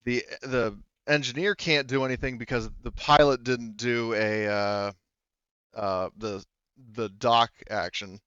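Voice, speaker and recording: A man's voice: mid-range voice, fairly even intonation, measured pace, a bit bright, smooth, no echo, muffled recording. A man running a tabletop game as game master, explaining the rules in a hesitant, backtracking way.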